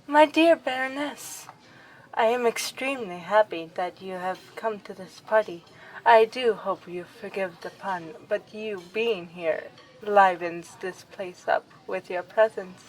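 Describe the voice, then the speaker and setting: A woman's voice: medium pitch, unhurried, close to an everyday voice, rough recording. A woman playing a young noble vampire princess in an ASMR roleplay, affectionate and formal in her wording. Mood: fond, excited. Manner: formal, expressive, aristocratic wording.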